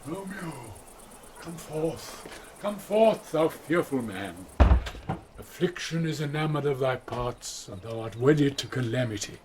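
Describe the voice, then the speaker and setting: A man's voice: a little high, expressive, slow pace, darkish tone, a little hiss or hum. An actor with a British accent playing a grave, fatherly elderly friar in classical Shakespearean radio drama. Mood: grave, compassionate. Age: older.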